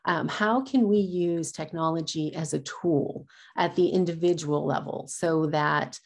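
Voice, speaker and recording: Low, gravelly-voiced woman with hesitant speech. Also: a little slow. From California, USA. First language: Spanish. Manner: hesitant, many ums and uhs. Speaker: award recipient, assistant professor. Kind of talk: personal acceptance speech.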